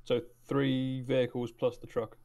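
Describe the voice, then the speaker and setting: Medium-pitched man at a tabletop game. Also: little pitch movement, a little slow, warm tone. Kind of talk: casual in-character planning talk.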